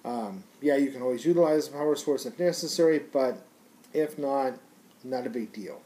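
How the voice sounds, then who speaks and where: A man's voice: medium pitch, moderate pace, dark tone. A man giving a casual, unscripted product review in a rambling, conversational, slangy way.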